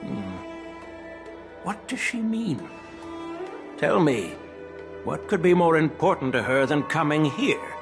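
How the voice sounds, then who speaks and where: An actor playing a shrewd, amused elderly general in an anime game, with a wry, theatrical, deliberate delivery. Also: male voice, a little high, sing-song, music in the background, full character voice. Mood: wry amusement.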